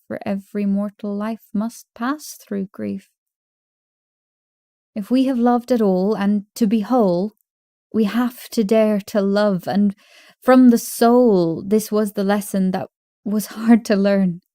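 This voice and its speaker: A woman reciting poetry in a measured, deliberate way, pausing between short phrases. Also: medium pitch, steady tone, warm tone, dry recording, clean studio sound. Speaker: poetry reader.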